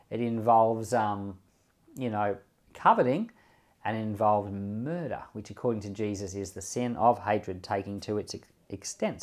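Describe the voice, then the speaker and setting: A man's voice: mid-range voice, darkish tone. A man giving informal Bible commentary as a pastor, speaking earnestly and emphatically and repeating key words. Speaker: pastor hosting a Bible podcast.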